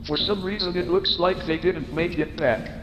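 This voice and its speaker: A man's voice: sits high, moderate pace, reverberant, big-room sound, background noise. A man giving commentary in a dramatic role play of a video game. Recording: loud music over the voice.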